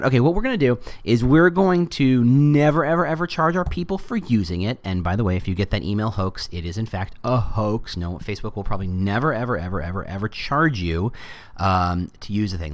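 A middle-aged American man giving a casual explanatory monologue in an animated, rambling way, full of wry asides. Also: deep voice.

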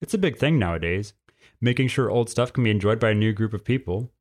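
A man's voice: lowish voice, quick pace, darkish tone, lightly acted. A man playing a laid-back, cheerfully unbothered teenage male skink in a story reading, with a casual manner.

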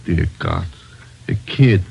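A man's voice: deep voice, huge pitch swings, slow pace, vocal fry, breathy edge, soft background music, exaggerated voice. An actor playing a shaken, remorseful American night watchman in 1940s radio style. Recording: muffled old radio recording, faint noise.

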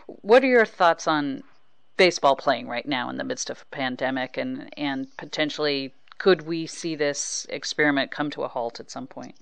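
A woman's voice: very deep voice, moderate pace, vocal fry, smooth, dry recording. A woman hosting a sports podcast, asking questions and chatting in a conversational way. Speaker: podcast host and interviewer.

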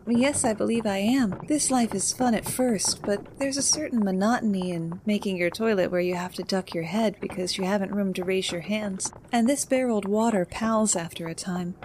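Man putting on a high-pitched, playful young lady. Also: moderate pace, few pauses, darkish tone, a little hiss or hum, natural voice. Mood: chatty. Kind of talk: dramatised storybook reading.

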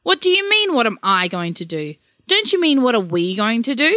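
A woman voicing a lazy, grumpy old grandfather, gruff and dismissive. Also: mid-range voice, sing-song, moderate pace, sharp tone, dry recording, studio quality. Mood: indignant.

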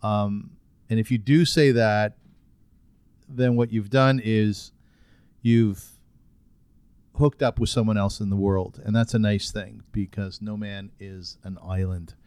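A man's voice: fairly low pitch, fairly even intonation, slow pace, long pauses, darkish tone, clear, resonant tone, dry recording. A man hosting a podcast, speaking earnestly in casual chat, often repeating words as he starts sentences. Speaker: podcast host.

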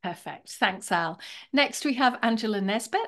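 A woman hosting a podcast, introducing the episode and welcoming guests in a measured, reflective way. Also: fairly high pitch, lively intonation, a bit bright. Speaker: podcast host.

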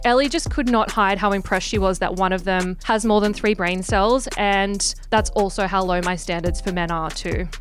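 A woman's voice: medium pitch, fairly even intonation, normal speed, few pauses, faint music behind. A young woman hosting a gossip podcast, sarcastic and irreverent, swearing freely as she mocks reality TV. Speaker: podcast host. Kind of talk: casual gossip podcast monologue.

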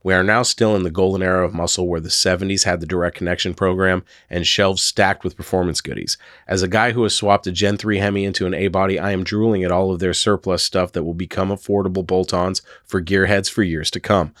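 Low-voiced, hoarse male podcast host. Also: steady tone, quick pace, few pauses, fairly bright tone, no echo, clean studio sound. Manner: rambling, chatty with many fillers. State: hoarse from a sore throat. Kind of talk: casual podcast talk.

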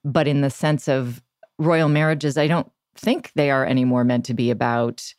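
Very deep-voiced woman interviewer, dry sound. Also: moderate pace, darkish tone, no echo. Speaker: podcast host. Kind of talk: conversational interview.

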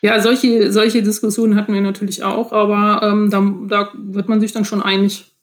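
A woman's voice: medium pitch, flat delivery, normal speed. Woman guest talking casually, laughing often. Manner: hesitant, with frequent laughter. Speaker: podcast guest, author. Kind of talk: casual interview, explaining from experience.

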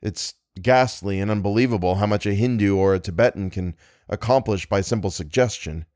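A man voicing a calm, compassionate gentleman who reasons steadily with a frightened friend. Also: lowish voice, a little fast, no room sound, studio quality, natural voice. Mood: reasoning.

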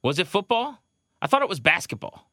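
A man's voice: sits high, sing-song, a little fast, sharp tone, no echo, clean studio sound. A man hosting a sports podcast, talking in casual banter. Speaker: podcast host.

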